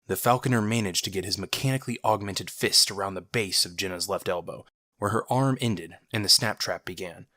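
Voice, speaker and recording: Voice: low-pitched, pushed and bright. A narrator reading a scripted action story in a dramatic style.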